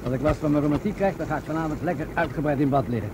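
An actor playing a jovial, chatty older police gentleman in a Dutch radio drama. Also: fairly high pitch, very fast, lightly acted. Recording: clear boat and water noise behind.

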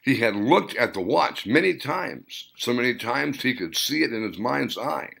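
A man reading his own novel as a dramatic audiobook, in deliberate, theatrical short phrases. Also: medium pitch, lively intonation, vocal fry, a bit bright.

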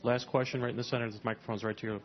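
A man's voice: medium pitch, level tone, very fast, highs cut off. A man moderating a press conference, calling on reporters with brief, directive lines. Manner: brief, directive calls for the next question.

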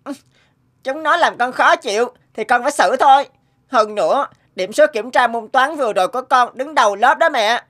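A woman's voice: fairly high pitch, animated, moderate pace. A woman voicing a sly, defiant young schoolboy troublemaker in a cartoon, with a cocky, grumbling way of talking. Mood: smug, defiant. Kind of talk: animated moral tale dubbing. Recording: loud music behind the voice.

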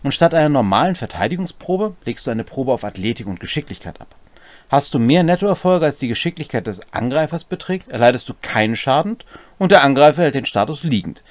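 A man's voice: medium pitch, big pitch swings, brisk, no echo. A middle-aged man co-hosting a casual explanatory chat about tabletop game rules. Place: small cluttered home room.

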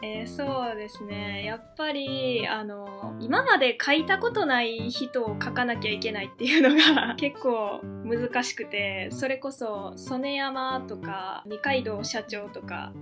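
A woman's voice: a little high, unhurried, few pauses. A young woman with a Kansai accent chats casually and giggles as she tells behind-the-scenes stories. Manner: giggly, animated storytelling. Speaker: illustrator on a student radio drama team. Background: guitar music.

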